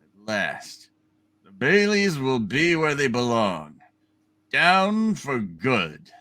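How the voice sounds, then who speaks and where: A scheming, imperious tycoon villain in a radio play, hammed up by a man. He speaks slowly and haughtily, with big swings in pitch and a hard, bright edge. He gloats and threatens. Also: huge pitch swings, heavily acted.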